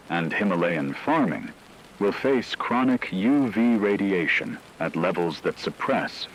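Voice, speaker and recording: A deep-voiced male expert, acted by a man delivers a sober radio briefing. His phrasing is measured, blunt and precise, like scripted disaster drama, with faint noise behind the voice. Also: low-quality recording.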